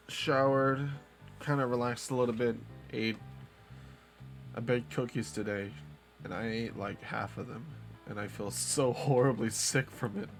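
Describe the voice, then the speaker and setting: Laid-back rambling young man streamer. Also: mid-range voice. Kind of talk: casual live-stream chat.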